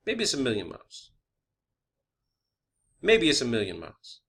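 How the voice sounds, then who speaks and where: A man's voice: medium pitch, huge pitch swings, moderate pace, fairly bright tone. An American man in a rambling, informal monologue, cursing often, emphatic and scornful, repeating himself as he argues his opinions. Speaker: video host.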